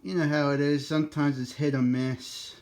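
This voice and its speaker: A man's voice: a little high, little pitch movement, moderate pace, darkish tone. A man talking informally in a casual two-way radio chat, chatty and sprinkled with operator jargon. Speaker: amateur radio operator making a video.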